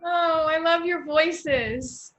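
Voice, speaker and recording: A woman's voice: extremely high pitch, drawn-out words, fairly bright tone, slight background noise. An American woman hosting an online literary show over a video call, chatting casually. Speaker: host of online literary series. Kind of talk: casual, gushing interview chat.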